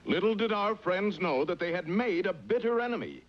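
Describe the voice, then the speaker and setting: A man's voice: high voice, expressive, normal speed. A man giving mock-dramatic scripted narration for a cartoon. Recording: muffled, noisy old cartoon soundtrack.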